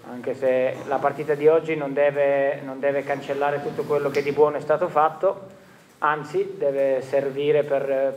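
A man in his forties, a football coach answering questions at a post-match press conference in a stadium press room, speaking in a measured, reflective way with frequent restarts. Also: mid-range voice, a little mellow, lo-fi sound, slight background noise. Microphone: table microphone.

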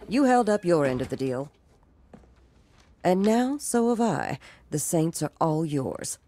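An actor playing a shrewd, folksy woman executive with cool menace and a measured delivery in a video game cutscene. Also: fairly low pitch, very animated, warm tone, heavily acted. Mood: cool, warning. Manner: measured, folksy turns of phrase.

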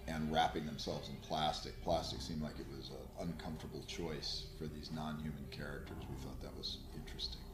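A middle-aged man, an effects artist, explaining his past work in a halting, measured interview style. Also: very deep voice, fairly even intonation. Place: indoor room, seated interview. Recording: echoey room, faint noise.

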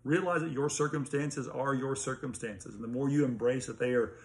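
A man in his thirties with an American accent, a pastor giving an informal, earnest sermon recap to camera with hesitant pauses. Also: medium pitch, fairly even intonation, creaky. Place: small home study with bookshelves, indoors. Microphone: phone or laptop at arm's length.

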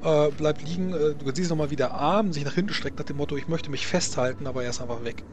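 A man improvising narration and rulings as game master of a tabletop roleplaying game, halting as he feels for words. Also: on the high side. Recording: clearly noisy home voice recording.

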